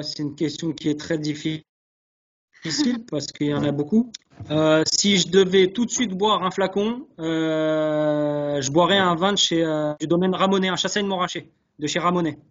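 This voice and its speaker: A man's voice: a little high, a little slow. A man answering interview questions earnestly, hesitating and repeating words as he searches, on dull webinar audio. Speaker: guest, chef sommelier. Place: online video call.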